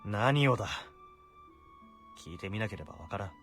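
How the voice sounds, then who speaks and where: An actor playing a stern, sardonic court official in a visual novel, curt and authoritative. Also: male voice, lowish voice, animated, quick pace, fairly bright tone, soft background music. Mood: curt, scornful. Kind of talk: Japanese visual novel voice acting.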